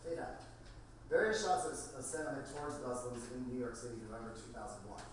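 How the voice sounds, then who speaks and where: A man reading stage directions as scripted narration at a table read, halting now and then over the script. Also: medium pitch, animated. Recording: very echoey room, distant mic, faint noise.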